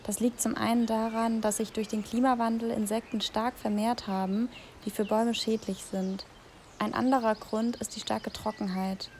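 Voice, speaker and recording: A woman's voice: fairly high pitch, flat delivery, normal speed, a little mellow, a little hiss or hum, lightly acted. A woman in her thirties playing someone who watched forests die, speaking in an earnest, sorrowful way. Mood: plain. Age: 30s.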